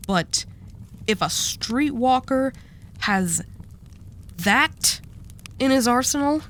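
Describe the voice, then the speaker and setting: A woman's voice: sits high, animated, takes it slowly, fairly bright tone, a little hiss or hum, heavily acted. A woman playing a dominant, seductive mafia boss in a roleplay audio drama, teasing and possessive with a coaxing menace. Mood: calm, calculating.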